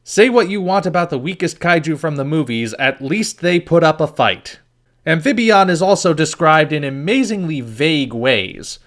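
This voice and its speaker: A man's voice: a little high, fairly bright tone. A man reading a scripted review as host, with a wry, critical manner.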